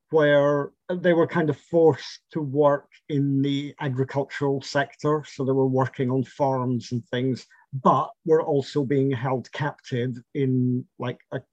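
A middle-aged man, telling about his family's past in a measured, reflective way, with fillers and restarts. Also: mid-range voice, darkish tone, no room sound. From Scotland. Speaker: interviewee. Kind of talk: oral history interview. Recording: dull, lo-fi and dry.

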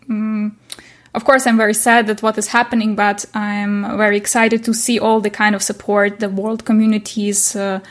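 A young woman from Ukraine with a Ukrainian accent, speaking non-native English hesitantly as an interview guest. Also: mid-range voice. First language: not English. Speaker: interview guest, chess coach. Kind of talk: casual interview chat.

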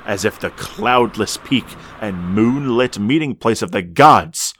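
A man narrating an eerie old tale as a solemn storyteller, in a measured, theatrical delivery. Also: lowish voice, lively intonation, fairly bright tone, slight background noise. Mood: solemn, ominous. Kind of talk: scripted horror story narration.

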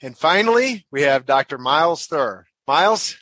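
A man hosts a professional podcast, and his voice is bright and forceful. He sits close to a dry, dead mic. He talks in a halting way, full of "uh"s and repeated words. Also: a little high, animated, dry recording. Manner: hesitant, frequent uh fillers and repeated words. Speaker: podcast host, association director.

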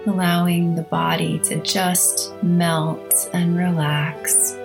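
A woman hosting a guided meditation, speaking in a soothing, measured way with long pauses between short phrases. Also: fairly low pitch, little pitch movement, gravelly. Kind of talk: slow guided meditation narration. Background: instrumental music.

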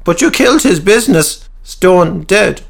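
A man doing a shrill, indignant townsman. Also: lively intonation, a little slow, creaky, heavily acted. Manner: high, indignant, shouting. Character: sharp-tongued indignant townsman.

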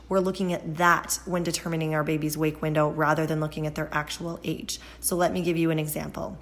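A woman in her thirties hosting a podcast on baby sleep, her voice hoarse and scratchy, explaining things slowly and patiently in a chatty way. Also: sits low. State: hoarse, scratchy voice from a seasonal cold. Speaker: pediatric sleep consultant and podcast host. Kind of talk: solo podcast Q and A.

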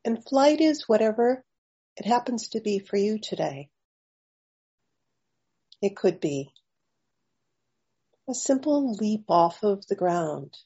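A woman leads a guided meditation at a slow pace, with a soft-edged, mellow tone. Her phrasing is gentle and leaves long pauses. The recording is a little dull and lo-fi. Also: mid-range voice, animated, husky. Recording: slightly dull, lo-fi audio.

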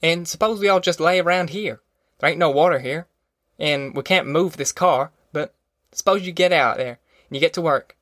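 Man voicing a direct young farmhand, fairly high voice. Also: moderate pace, a bit bright, no room sound, clean studio sound, lightly acted. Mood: persuading. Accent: rural Southern American. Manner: folksy, direct, persuading. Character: plain-spoken young farm man, practical grown son. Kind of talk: audiobook character acting.

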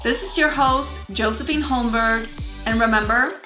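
A woman's voice: on the high side, moderate pace, over music, rough recording. Swedish-accented woman reading podcast script. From Sweden. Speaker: podcast host. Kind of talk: scripted podcast intro and sponsor read.

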